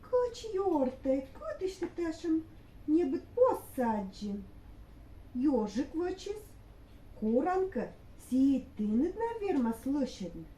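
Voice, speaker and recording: A woman's voice: high-pitched, sing-song, takes it slowly, warm tone, heavily acted. A woman voicing the forest animals in a puppet show, pleading and drawing out her calls. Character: forest animals, hare, fox and wolf, wheedling for houses. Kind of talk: puppet theatre acting. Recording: echoey room.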